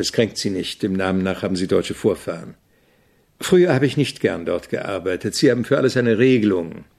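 An actor playing an opinionated, irritable avant-garde composer in a German radio drama, speaking in a didactic, deliberate way with a gravelly, husky voice. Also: male voice, lowish voice, a little mellow.

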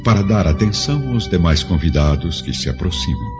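A very low-pitched Brazilian man narrates a radio drama with solemn, literary phrasing. The sound is dull and lo-fi, with music underneath. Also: animated, brisk.

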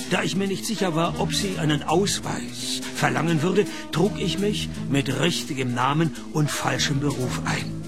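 An actor playing a dry, world-weary, cheeky private detective in a radio play, deadpan and laconic in dialogue and narration. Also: male voice, a little high, moderate pace, over loud music. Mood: wry, deadpan. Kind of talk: radio play acting and first-person narration.

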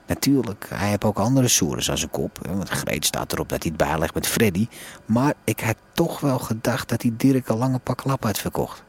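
Hese, peinzende roddelaar. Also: male voice, lowish voice, expressive, normal speed, breathy edge. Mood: musing. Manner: colloquial, ruminating storyteller.

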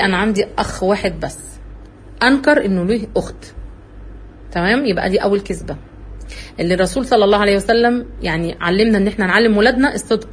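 A woman with an Egyptian Arabic accent giving an earnest religious talk, telling her own story in an animated way. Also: medium pitch, slight background noise. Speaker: religious speaker.

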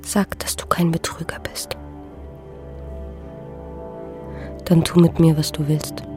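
An actress playing a distraught young peasant maid in a German radio drama, anguished and intense, with a breathy, husky voice. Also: female voice, deep voice, level tone, moderate pace, in a whisper, music in the background, lightly acted. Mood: pleading, resigned.

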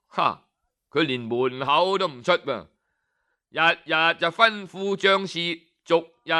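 A man with a Hong Kong Cantonese accent spins an old tale in the traditional storyteller's manner. His voice sits high and carries a clean, smooth tone, and he lingers over particles and pauses for effect. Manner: leisurely, expressive, with drawn-out particles and dramatic pauses.

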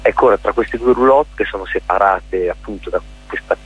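A male theatre director and playwright being interviewed on radio, explaining his production in articulate lists with hesitant pauses. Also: lowish voice, breathy edge, highs cut off.

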